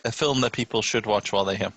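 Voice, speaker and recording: A man with a fairly low voice hosts a casual podcast, teasing and sarcastic as he moves the chat along. He is recorded dry, with very little room sound. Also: quick pace, fairly bright tone, no room sound. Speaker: podcast host. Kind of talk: casual banter, hosting.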